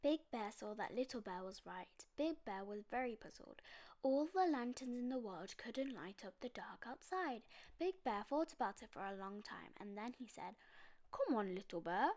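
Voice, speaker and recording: A woman's voice: on the high side, lively intonation, moderate pace, darkish tone. A woman storyteller reading a children's bedtime story aloud expressively.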